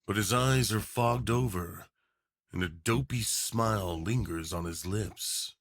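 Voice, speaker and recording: Unhurried, hushed male roleplay narrator. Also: fairly low pitch, vocal fry, no echo, clean studio sound. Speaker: narrator and voice actor. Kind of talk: hushed scripted second-person narration for audio roleplay.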